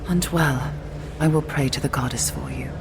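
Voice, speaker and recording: An older actress playing a solemn, tender female leader in a sci-fi audio drama, speaking in a grave, measured way. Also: very deep voice, noisy background.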